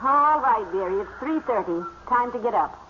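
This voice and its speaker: An actress playing a patient, wry housewife in a 1950s radio comedy, on a muffled old recording. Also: female voice, fairly high pitch, expressive, moderate pace, very noisy, lightly acted. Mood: wry exasperation. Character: patient, wry housewife married to a bumbling husband.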